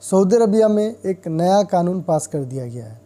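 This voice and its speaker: A man's voice: high voice, lively intonation, normal speed, dark tone. A man with an Indian accent presenting an informal news update, explaining things directly to viewers with examples.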